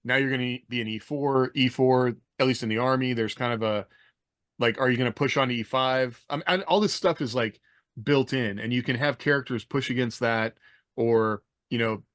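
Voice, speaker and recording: A man's voice: mid-range voice, normal speed, fairly bright tone, dry recording. American man, casual, rambling, in a dry room. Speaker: podcast co-host. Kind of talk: casual chat, telling anecdotes.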